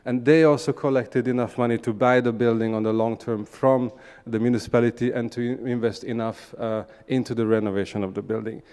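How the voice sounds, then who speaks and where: Male conference speaker, Hungarian accent, clean smooth voice at medium pitch, measured hesitant phrasing, long hall reverb. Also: little pitch movement, darkish tone. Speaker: conference speaker, urban regeneration practitioner. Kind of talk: conference presentation, semi-spontaneous. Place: large indoor conference hall.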